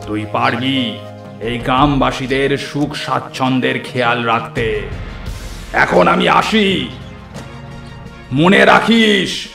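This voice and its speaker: A man voicing a solemn, kindly tantric holy man in a horror cartoon, speaking gravely and paternally in a gravelly voice. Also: on the high side, a bit bright, full character voice. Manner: slow, grave, fatherly. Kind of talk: horror cartoon story acting. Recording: echoey, clear music underneath.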